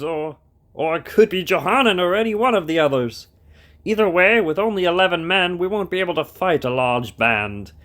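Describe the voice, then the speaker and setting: A man's voice: high-pitched, fairly bright tone. A man acting an anxious, irritable traitor prince on the run, with an agitated manner, in an amateur audio drama.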